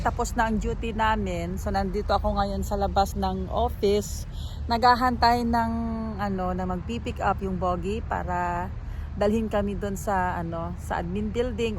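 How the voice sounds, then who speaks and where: A woman's voice: medium pitch, noisy background. A woman with a Filipino accent chatting casually and informally to her viewers as a vlogger. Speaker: vlogger, event info point staff. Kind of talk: casual vlog chat to viewers. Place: outdoors or at an office doorway.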